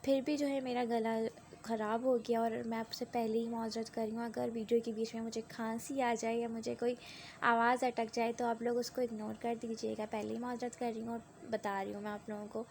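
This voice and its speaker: A young woman narrates her vlog in a rambling, conversational way. Her voice is gravelly and sore-throated, pitched a little high but kept flat, with a mellow, dark tone. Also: a little fast, slight background noise. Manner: rambling and conversational, with many tag fillers. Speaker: vlogger. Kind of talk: chatty voice-over narration of a daily vlog.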